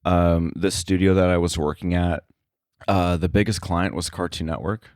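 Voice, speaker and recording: A man with a very low voice speaks casually as a guest in an interview. He explains things thoughtfully, with some hesitation. The sound is dry, with hardly any room in it. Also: fairly even intonation, moderate pace, darkish tone, no room sound. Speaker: podcast guest, sound effects editor.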